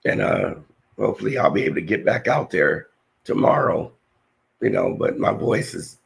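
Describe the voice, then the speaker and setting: A man whose voice is almost gone reads Bible verses in a rough, husky rasp. His low voice crackles with fry as he teaches slowly and earnestly, stumbling and restarting now and then. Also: wildly swooping pitch. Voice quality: raspy, gravelly. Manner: slow, earnest scripture reading with halting restarts. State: hoarse, voice nearly lost. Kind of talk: scripture-reading lesson.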